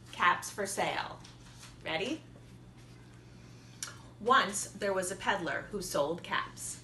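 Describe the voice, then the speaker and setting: A woman preschool teacher reading a storybook aloud to young children, expressive and deliberate in her emphasis. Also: lowish voice. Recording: echoey room with faint noise.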